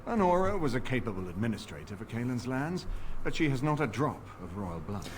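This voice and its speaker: A man's voice: medium pitch, sing-song, a little fast, crowd noise, natural voice. A man playing an earnest, shrewd noble statesman in a fantasy video game, with a formal, persuasive delivery. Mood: firm.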